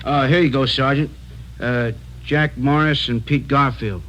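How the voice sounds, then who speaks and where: A man playing a hesitant warehouse shipping clerk in a halting 1940s radio acting style. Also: mid-range voice, fairly even intonation, dull audio, over music, close to an everyday voice. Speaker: actor in radio drama. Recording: noisy vintage radio recording.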